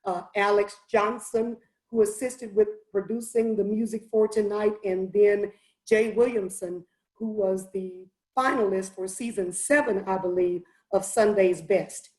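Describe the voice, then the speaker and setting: A woman in her fifties with a raspy voice, introducing her music master class with enthusiasm in an online presentation. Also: medium pitch, steady tone, measured pace, a little mellow, roomy sound, rough recording. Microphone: video call.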